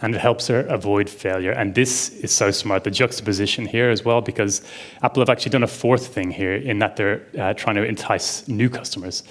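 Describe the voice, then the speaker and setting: A man speaks on a conference stage to a live audience in a fairly low voice. He talks in a chatty, enthusiastic way, often reaching for "like" as he goes. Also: steady tone, a little fast. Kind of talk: casual conference talk.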